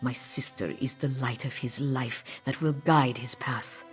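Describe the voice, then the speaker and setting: A woman's voice: rumbling low, steady tone, unhurried, soft background music. A woman playing a solemn, devout cat-folk priestess in a fantasy game, speaking earnestly. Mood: solemn, urgent. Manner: speaks of herself in third person, earnest. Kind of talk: scripted fantasy game dialogue.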